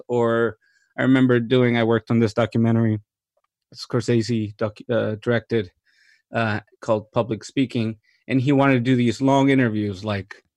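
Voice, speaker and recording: A man from Mexico speaks in an unhurried, conversational way, pausing with fillers and circling back mid-sentence. His voice sits at an ordinary middle pitch and is recorded close and dry, with no room sound. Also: no room sound. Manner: thoughtful, many fillers and restarts, enthusiastic. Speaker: cinematographer guest. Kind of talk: relaxed interview conversation.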